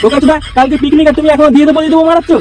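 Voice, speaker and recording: High, rapid-fire bossy cartoon lad. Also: male voice, steady tone, distant mic. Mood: demanding. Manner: bossy, scolding, swearing. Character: bossy foul-mouthed young gang leader organising friends. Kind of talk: comic cartoon dubbing.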